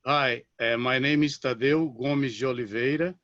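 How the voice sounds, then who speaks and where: A man's voice: mid-range voice, fairly even intonation, moderate pace, fairly bright tone, dry recording. Brazilian man on dull, dry call audio. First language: Portuguese. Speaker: conservation program coordinator, panelist. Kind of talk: introducing his work on a panel. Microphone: video call.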